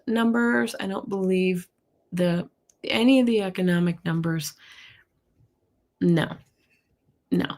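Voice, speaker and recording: A middle-aged woman with a hoarse, husky voice, chatting casually as host of a live tarot and astrology stream and reacting to viewer comments. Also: on the low side, darkish tone. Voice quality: husky, gravelly voice. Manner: chatty, reacts to comments, coughs and clears throat. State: hoarse from losing her voice.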